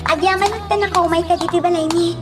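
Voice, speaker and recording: An actress with a Filipino accent playing an earnest, easily flustered young graduate in a radio drama. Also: female voice, extremely high pitch, fairly even intonation, fast talker. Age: young adult. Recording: loud music bed under the voice.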